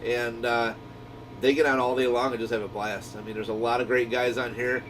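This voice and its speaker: A man with a mid-pitched voice chats casually as host of a radio group. He is folksy and easygoing, explaining as he goes. The recording is noisy and sounds set back from the microphone. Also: little pitch movement, brisk, far from the mic. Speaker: radio shop owner hosting a walkie-talkie group channel. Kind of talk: casual instructional chat over radio.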